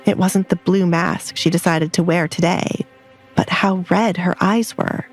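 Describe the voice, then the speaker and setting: A woman's voice: fairly low pitch, steady tone, unhurried. A woman reading scripted romance fiction as an audiobook narrator. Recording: background music under the voice. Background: music.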